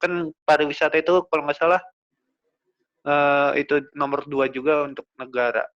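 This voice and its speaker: A young man, a guest answering in a casual chat with hesitant, halting phrases. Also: fairly high pitch, little pitch movement. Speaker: podcast guest. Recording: dull lo-fi recording.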